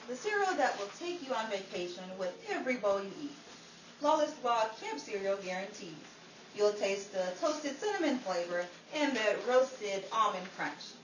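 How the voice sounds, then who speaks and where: Woman acting as a high-voiced vintage radio pitchwoman. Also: natural voice. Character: salesy 1950s radio cereal pitchwoman. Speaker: commercial announcer. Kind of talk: old-time radio advertisement read. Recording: roomy, distant mic with background noise.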